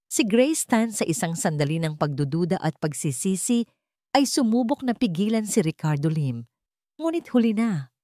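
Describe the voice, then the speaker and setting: A woman narrates a scripted dramatic story. Her voice sits a little low for a woman. The sound is very dry, with hardly any room around it. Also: a little mellow, no echo.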